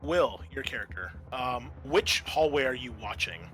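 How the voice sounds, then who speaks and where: A man's voice: medium pitch, expressive, a little slow, fairly bright tone, low-quality recording. A man narrating a tabletop roleplaying game as its game master, addressing the players.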